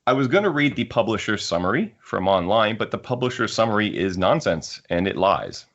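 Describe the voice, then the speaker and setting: A man with a deep voice hosts a casual book discussion. He often restarts his phrases as he works toward a point. The recording is a little dull and lo-fi. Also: big pitch swings, fairly quick, fairly bright tone. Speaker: podcast host. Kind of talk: casual discussion of sci-fi books.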